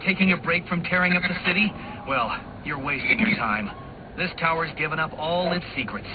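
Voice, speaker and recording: Smug villain over a tinny radio. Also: male voice, on the high side, a little fast, background noise. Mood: condescending. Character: smug intellectual male villain, condescending schemer and information broker. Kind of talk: video game voice acting. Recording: tinny band-limited comms line, music behind.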